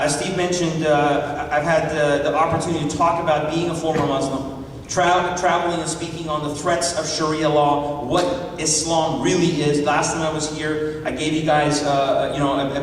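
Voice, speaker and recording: A man's voice: fairly high pitch, slight background noise. Iran-born man preaching in an echoey hall. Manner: emphatic, fond of rhetorical questions to the crowd. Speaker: pastor and public speaker. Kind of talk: political speech to a live audience. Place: large meeting hall. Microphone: distant mic in the room. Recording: echoey hall recorded from far off.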